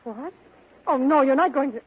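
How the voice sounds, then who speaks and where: An actress playing a scheming, pleading femme fatale in a melodramatic, imploring 1940s radio drama style. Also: female voice, high voice, moderate pace, close to an everyday voice. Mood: desperate pleading. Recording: narrow-band old radio recording.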